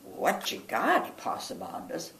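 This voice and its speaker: A woman's voice: very deep voice, wildly swooping pitch, slow pace, a bit bright, voice pushed down, heavily acted. A woman voicing nosy, skeptical folksy animals in a children's storybook, with a doubtful drawl. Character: nosy, skeptical folksy animals: alligator, raccoon, nutria, armadillo. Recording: roomy, distant mic, faint noise.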